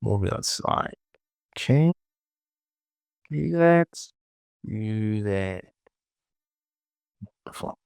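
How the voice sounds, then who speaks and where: Slow, creaky-voiced man muttering through a tutorial. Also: lowish voice, expressive, darkish tone, no room sound. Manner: muttering to himself, repeating short phrases. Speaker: tutorial host. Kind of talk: casual screen-recorded software tutorial narration.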